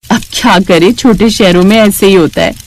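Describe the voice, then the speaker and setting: A woman's voice: medium pitch, moderate pace, no room sound. A woman narrating a scripted family story.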